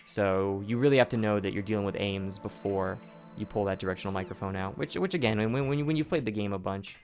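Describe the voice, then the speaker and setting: A man with a hoarse, sore throat giving casual commentary over his own speedrun, explaining each step, often restarting his sentences. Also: on the low side, a little fast, soft background music. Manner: explanatory, rambling, with frequent restarts and profanity. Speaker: gameplay commentator.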